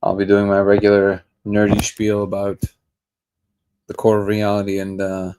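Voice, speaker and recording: A man's voice: on the low side, monotone, moderate pace, noisy background. A man hosting a daily livestream, chatting casually and greeting viewers by name. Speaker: livestream host. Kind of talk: casual live chat reading comments.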